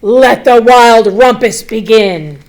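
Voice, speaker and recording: Woman as a loud, bossy little wild boy. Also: a little high, takes it slowly. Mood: exultant. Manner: loud, commanding exclamations.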